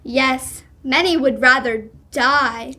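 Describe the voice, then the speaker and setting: A woman's voice: high voice, slow, sharp tone, exaggerated voice. A young woman playing a polite, earnest charity collector in a live radio drama, formal and courteous. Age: teenager or young adult. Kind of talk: live old-time radio drama reading.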